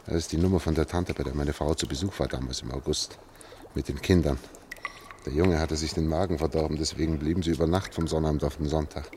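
Anxious, low-voiced middle-aged engineer. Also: male voice, fairly even intonation, fast, darkish tone, a little hiss or hum. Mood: hushed, reassuring. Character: anxious male engineer leading an escape through sewers. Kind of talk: German radio drama acting.

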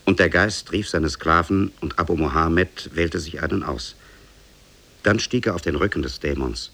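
An actor as the grave storyteller of an Arabian tale, narrating formally and evenly for a 1950s radio drama. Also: male voice, low voice, vocal fry, a bit bright, slightly rough, exaggerated voice. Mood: grave, measured. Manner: measured, formal.

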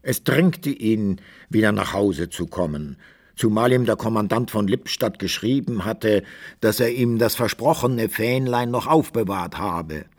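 A man narrating a literary radio drama in an expressive, measured storytelling manner. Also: fairly low pitch, breathy edge.

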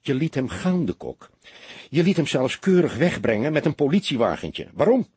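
A man's voice: mid-range voice, sing-song, moderate pace, a little mellow, slightly rough, dry recording, voice pushed up. A man voicing a young male detective in an audiobook, agitated, indignant and incredulous.

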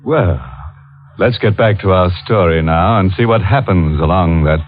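An actor playing a wry, macabre host of a 1940s radio thriller. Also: male voice, low-pitched, normal speed, soft background music, close to an everyday voice. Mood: wry menace. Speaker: program host. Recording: tinny 1940s radio recording.

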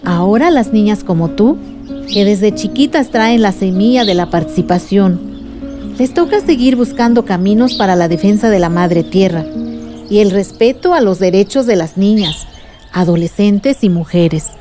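A woman's voice: mid-range voice, animated, normal speed, over music, close to an everyday voice. An actress playing an adult village woman, a patient, earnest activist recounting memories in a radio drama. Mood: patient, firm. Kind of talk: radio drama dialogue and narration.

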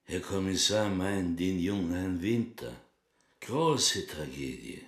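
Slow, wary shopkeeper, echoing room. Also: male voice, deep voice, lively intonation, fairly bright tone, heavily acted. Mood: wary, deferential. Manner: slow, rhetorical, shrugging, inverted word order. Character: wary, deferential butcher shopkeeper with dry disdain. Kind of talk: radio drama acting. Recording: roomy, echoing radio-play interior.

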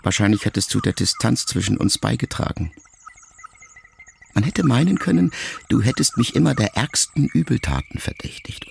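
An actor playing a middle-aged writer son who addresses his mother in a measured, introspective, quietly reproachful radio monologue. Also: lowish voice, creaky, a little hiss or hum, full character voice. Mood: pensive, searching. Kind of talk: literary monologue in a radio play.

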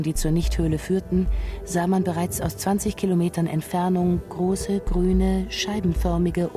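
A woman narrating a literary science-fiction radio drama in a measured, precise manner. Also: on the low side, lightly acted. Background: music.

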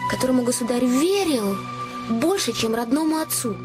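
An actress playing an anxious, kind-hearted young innkeeper's daughter in an audio play, confiding and earnest, telling things with naive expressiveness. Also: female voice, sits high, lively intonation, moderate pace, over music. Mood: earnest storytelling. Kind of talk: Russian audio play storytelling.

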